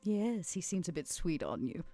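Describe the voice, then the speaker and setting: A woman playing a polite, genteel, sly lady in a tabletop game, soft-spoken with old-fashioned manners. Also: fairly low pitch, sing-song, normal speed, no echo. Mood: apologetic, sweet.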